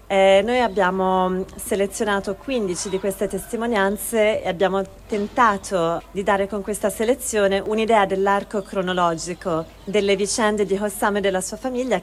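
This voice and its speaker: A woman presenting a theatre project in an earnest, deliberate way, as if introducing a reading. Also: mid-range voice, a little hiss or hum. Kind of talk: spoken introduction to a live reading.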